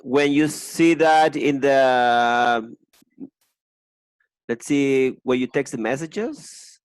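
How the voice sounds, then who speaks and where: A man's voice: medium pitch, very slow, clean tone. A man helping run an online meeting, giving casual instructions in a polite, tentative way over a video call. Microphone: video call microphone.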